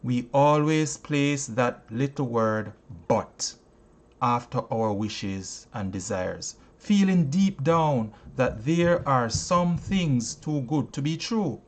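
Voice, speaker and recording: A man reading a self-help audiobook aloud in a deliberate, emphatic, preacherly way. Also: medium pitch, animated. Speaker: audiobook reader and teacher.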